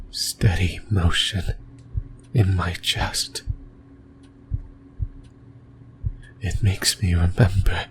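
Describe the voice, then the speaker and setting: Guy doing a creepy sleep demon for asmr, low breathy whisper, super slow, lonely and clingy. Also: a little hiss or hum. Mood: wistful, relieved. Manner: slow, halting, brooding delivery. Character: lonely, possessive sleep paralysis demon, lost and yearning.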